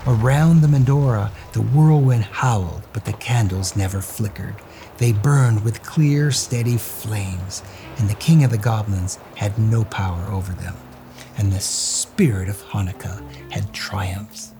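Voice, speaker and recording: A man's voice: lowish voice, unhurried, dark tone, faint music behind. A man reading a children's story aloud as host, in a theatrical, suspenseful way.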